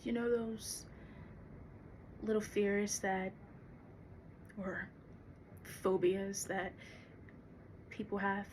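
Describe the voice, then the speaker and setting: A woman performing a theatrical monologue as an anxious, fearful young woman, confiding haltingly with dramatic pauses. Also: mid-range voice, fairly even intonation, a little mellow, a little hiss or hum. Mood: hesitant, confiding. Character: anxious young woman confessing a terror of thunderstorms.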